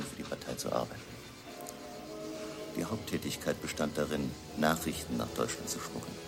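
Very deep, creaky, weary exile. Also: male voice. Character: weary exiled newspaper editor turned underground communist courier. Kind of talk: radio drama monologue.

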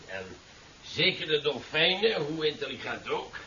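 An actor playing a dry university scientist in a 1970s radio drama, lecturing in formal, precise sentences. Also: male voice, fairly high pitch, animated, measured pace. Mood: dismissive. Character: male university scientist, formal, dry, quick to take offence. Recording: distant, dull, hissy old radio recording.

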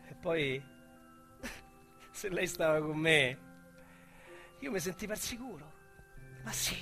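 A rueful Roman crook. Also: male voice, sits high, bright, forceful tone, full character voice. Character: rueful small-time male crook confessing. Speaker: narrator-protagonist. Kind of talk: radio drama monologue.

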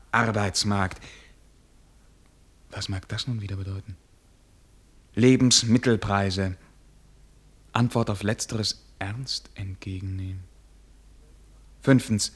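An actor in a German radio play as a weary, self-doubting prince who speaks formally, with theatrical irony. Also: male voice, lowish voice. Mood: musing. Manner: formal, theatrical, brooding, ironic.

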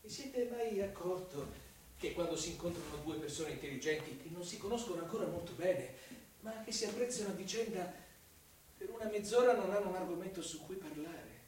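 Cunning theatrical investigator in a cavernous echo. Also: male voice, high voice, animated, brisk. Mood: probing, sociable. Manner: insinuating, teasing, swinging from hushed to loud. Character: cunning, cat-and-mouse male investigator, mocking and menacing. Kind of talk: theatrical Italian radio drama monologue. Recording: very echoey, distant microphone, faint noise.

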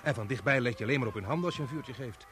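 A man's voice: mid-range voice, rapid-fire, a bit bright, slight background noise, natural voice. An actor playing a chatty, showy bystander who talks in salesman-like patter and commentary in a radio play. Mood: chatty, nosy. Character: chatty, showy bystander man turned excited event commentator. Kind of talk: Dutch radio play acting.

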